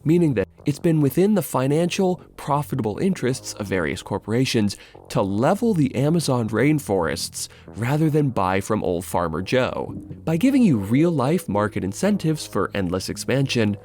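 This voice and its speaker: A man's voice: mid-range voice, sing-song, moderate pace, a little mellow. A man narrating a scripted video essay as its host, in a wry, sardonic, casually sweary way.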